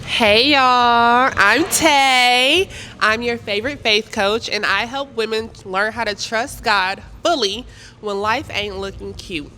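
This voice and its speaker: A woman's voice: on the high side, slow, pushed and bright, a little hiss or hum. A woman faith coach, telling her story in a playful, sassy way. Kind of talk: panel talk.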